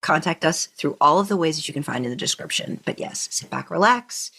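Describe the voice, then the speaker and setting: A woman's voice: low voice, lively intonation, a little fast, vocal fry, fairly bright tone, slightly rough. Casual, conversational woman podcast host.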